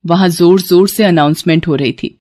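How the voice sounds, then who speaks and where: A woman's voice: fairly low pitch, brisk, darkish tone. A woman giving scripted narration of a moral story.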